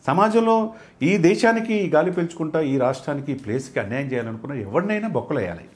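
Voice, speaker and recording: A man's voice: on the high side, sing-song, fast talker, darkish tone. A man giving impassioned political commentary as a guest analyst, emphatic and rhetorical, repeating phrases in lists.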